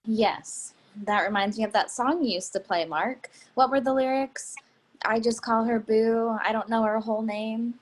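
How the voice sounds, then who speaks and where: A woman's voice: on the high side, steady tone, moderate pace, natural voice. A woman acting a suspicious, snobbish middle-aged mother in a play reading, cutting and condescending. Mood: pointed.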